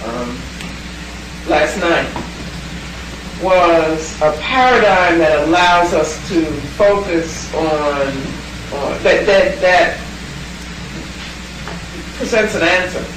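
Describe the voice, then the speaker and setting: A woman's voice: fairly low pitch, expressive, very slow, long pauses. Thoughtful woman lecturer in a very echoey hall. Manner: thoughtful, emphatic, stops and restarts to reframe. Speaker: lecturer answering questions. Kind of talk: Q&A answer. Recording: very echoey hall, distant mic, noisy.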